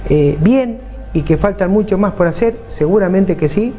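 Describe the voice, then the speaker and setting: A man in his forties with an Argentine Spanish accent speaks in a mellow, slightly high voice, earnest and fond of repeating points for emphasis. He sits in a TV studio answering questions, with a faint hum behind him. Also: moderate pace. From San Juan, Argentina. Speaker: mayor and vice-governor candidate. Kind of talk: political interview answers. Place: TV studio, seated at a table.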